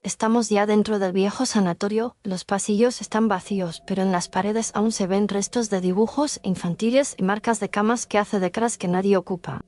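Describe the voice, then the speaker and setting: A woman's voice: medium pitch, steady tone, brisk, few pauses, darkish tone, no echo, close to an everyday voice. A woman playing a bold livestream host in a scripted horror story, with a matter-of-fact presenting manner.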